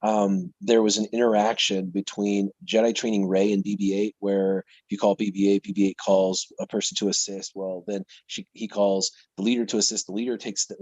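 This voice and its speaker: Husky low-voiced man telling a story. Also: dry recording, low-quality recording. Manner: rambling, animated storytelling. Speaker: streamer and panelist. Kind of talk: casual panel chat on a live stream.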